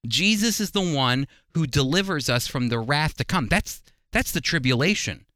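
A man's voice: on the high side, animated, moderate pace, bright, forceful tone, no room sound, clean studio sound. A man teaching the Bible in a sermon style, emphatic and putting rhetorical questions to his listeners. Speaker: Bible teacher and program host.

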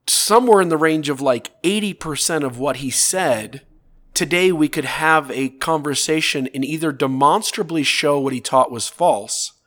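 A man's voice: a little high, fairly bright tone. Earnest man with a slight cold. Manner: earnest, building arguments in long lists, frequent rhetorical "right?". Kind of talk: casual talk to camera or podcast.

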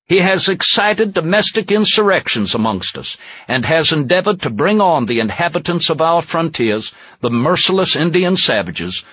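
A man playing an indignant, resolute 18th-century American statesman, formally reciting a historical document with solemn, deliberate, weighted phrasing. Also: a little high, a bit bright, slightly rough, dry recording, full character voice. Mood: grave indignation. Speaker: historical interpreter.

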